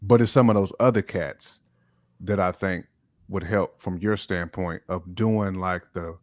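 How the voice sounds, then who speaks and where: A man's voice: low voice, fairly even intonation, measured pace, no echo, studio quality. A middle-aged American man chatting informally and in slang as a panelist in a hip hop discussion. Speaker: talk show panelist.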